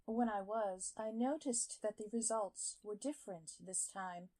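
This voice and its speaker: A woman playing a detached scientist in a scripted audio log, speaking in a measured, hesitant, matter-of-fact way. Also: mid-range voice, dark tone, natural voice. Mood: uncertain, detached. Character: detached female scientist logging secret creature experiments.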